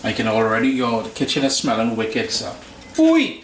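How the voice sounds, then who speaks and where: A man with a Trinidadian accent talks through a cooking lesson in an easygoing, chatty way, tossing in slangy asides. His voice sits at an ordinary middle pitch. The kitchen around him gives the recording a noticeable echo. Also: huge pitch swings, moderate pace, slight background noise. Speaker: cooking show host. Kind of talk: casual cooking tutorial.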